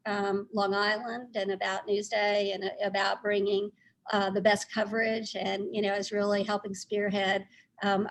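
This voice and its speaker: A middle-aged woman with a Texas accent, speaking informally and haltingly as a guest recounting her career. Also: medium pitch, low-quality recording. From Texas, United States. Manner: halting, conversational. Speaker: newspaper publisher, guest speaker.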